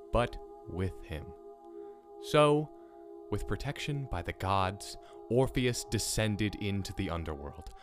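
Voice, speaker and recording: A man playing an intense mythology professor in an audio drama, telling stories with deliberate, weighted phrasing. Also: on the low side. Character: mythology professor, grave storyteller turning frantic and obsessive. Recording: staged old classroom recording, music underneath.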